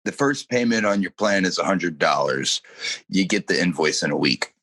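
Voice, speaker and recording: A man's voice: low-pitched, normal speed, fairly bright tone, no echo. A man playing a curt, unimpressed, impatient tattoo artist in a radio comedy. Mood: businesslike. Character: blunt, impatient male tattoo artist named Ed.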